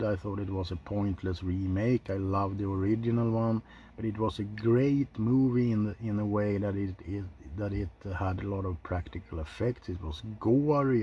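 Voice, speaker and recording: A man's voice: lowish voice, mellow, muffled recording, slight background noise. A man hosting an ASMR film ranking, chatting in an informal, rambling way with some mild swearing. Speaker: video host reviewing films.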